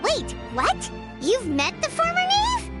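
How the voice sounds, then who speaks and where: Very high, chirpy fairy sidekick. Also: female voice, huge pitch swings, takes it slowly, exaggerated voice. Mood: surprised, concerned. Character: small childlike floating fairy companion, curious and chirpy. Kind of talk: video game voice acting. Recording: music behind the voice.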